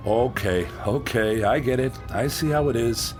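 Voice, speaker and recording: A man's voice: mid-range voice, normal speed, faint music behind, natural voice. An actor playing a brusque, skeptical working man in a radio drama, blunt and colloquial. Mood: firm, apologetic. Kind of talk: dramatic radio play acting.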